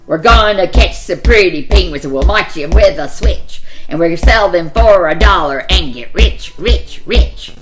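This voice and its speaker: A woman voicing a rough, greedy hunter with a growly voice and a menacing, chanting manner. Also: on the low side, lively intonation, measured pace, few pauses, over music. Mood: gleeful menacing chant.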